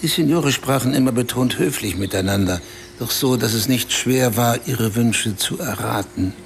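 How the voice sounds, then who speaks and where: Male actor as bitter, self-pitying storyteller for radio drama: gravelly, brooding, confessional, faint music behind. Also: medium pitch, normal speed, close to an everyday voice. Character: Augusto, bitter, self-pitying man recounting a wild night. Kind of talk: radio play dialogue.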